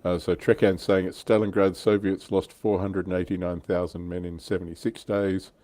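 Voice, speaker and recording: A man's voice: low-pitched, flat delivery, normal speed, warm tone. A man hosting a casual hobby livestream, chatting in an easy conversational way. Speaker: livestream host.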